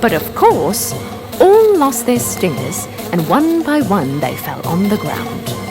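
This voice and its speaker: A woman narrating a scripted children's fairy tale in an expressive, dramatic way. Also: medium pitch, slow pace. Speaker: storyteller, narrator. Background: music.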